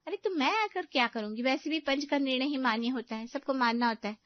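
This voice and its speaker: Woman playing high-voiced, reluctant married sister. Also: fairly quick, no echo, highs cut off, natural voice. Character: reluctant, busy married sister on a phone call. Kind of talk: Hindi family story acting.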